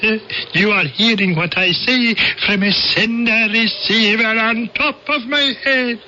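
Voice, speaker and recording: An actor playing a courteous, superior alien visitor in an old-time radio drama, speaking formally with precise, measured diction. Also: male voice, high voice, sharp tone, full character voice. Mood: coolly formal. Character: calm one-eyed, mouthless alien visitor, courteous and superior. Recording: dull, noisy old radio recording.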